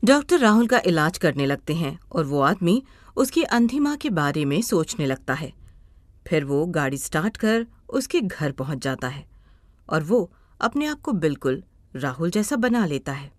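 A woman's voice: sits low, big pitch swings, moderate pace, no room sound. A woman narrating a scripted moral story as its storyteller.

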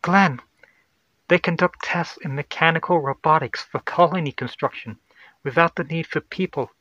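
A man's voice: fairly high pitch, normal speed, bright, forceful tone, dry recording, muffled recording, voice pushed up. A man voicing an anxious woman science officer, urgent and explanatory, in a sci-fi horror audio story. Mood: urgent, explaining.

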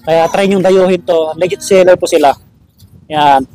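A man's voice: high-pitched, fairly even intonation, normal speed, dark tone, faint music behind, low-quality recording. A middle-aged man, narrating a casual shopping vlog in an upbeat, chatty way to his viewers. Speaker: vlogger, host. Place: busy public market and gadget stalls.

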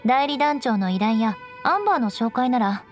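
A woman's voice: fairly high pitch, animated, moderate pace, close to an everyday voice. A woman voicing a proud, aloof young noblewoman knight in an anime game, with composed, elegant, haughty phrasing. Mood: businesslike. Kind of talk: Japanese anime game voice acting. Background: orchestral game music with strings.

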